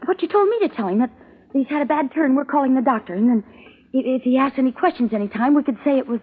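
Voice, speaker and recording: Scared guilty woman, high swoopy voice, faltering, pleading, old radio thriller, thin sound. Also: animated, quick pace. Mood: panicky. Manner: fearful, faltering, breathless pleading. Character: anxious, guilt-ridden female accomplice to murder. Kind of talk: old-time radio drama acting. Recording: narrow-band old radio recording, faint noise.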